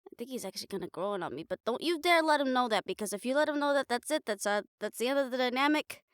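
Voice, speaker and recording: A woman playing a caring, blunt, teasing young girlfriend in an improvised audio roleplay, chatting casually and haltingly to the listener. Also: high voice, animated, no echo, clean studio sound. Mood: playful, blunt. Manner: casual, halting, playful swearing, affectionate teasing.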